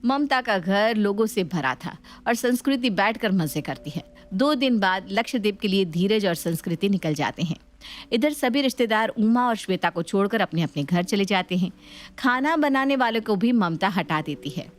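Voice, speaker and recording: A woman's voice: mid-range voice, animated, moderate pace. A woman narrating a scripted family story as a storyteller.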